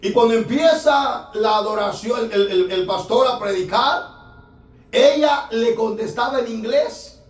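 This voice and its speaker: A man, a pastor, preaching a fervent sermon that rises to shouts, recorded in a church from off the mic. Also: very high-pitched, measured pace, recorded from a distance. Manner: fervent preaching, rising to shouts, filler "hermano". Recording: echoey church room, voice from off-mic.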